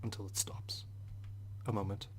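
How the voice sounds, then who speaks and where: A man's voice: fairly low pitch, level tone, normal speed, whispered. A man hosting a game playthrough, reading narration aloud expressively and dramatically. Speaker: gaming video host. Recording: game music behind the voice.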